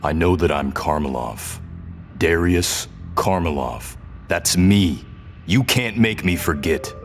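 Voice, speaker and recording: An actor playing a haunted, defiant rebel prisoner whose memory is fading, speaking in a halting, strained and stubborn way in an audio drama. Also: male voice, deep voice, expressive, soft background music, exaggerated voice. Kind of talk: scripted audio drama acting.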